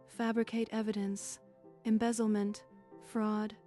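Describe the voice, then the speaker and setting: Manga dub villainess, female actor: mellow monotone, deliberate, cold, music underneath. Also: medium pitch, close to an everyday voice. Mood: cold, scheming. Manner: deliberate, calculating. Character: cold scheming woman plotting to frame a man. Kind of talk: dramatic manga dub acting.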